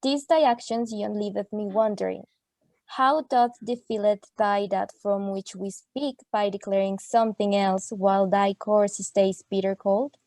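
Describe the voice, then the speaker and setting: A woman's voice: medium pitch, fairly even intonation, unhurried, a little mellow, no room sound, close to an everyday voice. A woman playing a solemn, wounded young woman who declaims in formal, archaic phrasing in a radio play. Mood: sorrowful reproach. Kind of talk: amateur radio play acting.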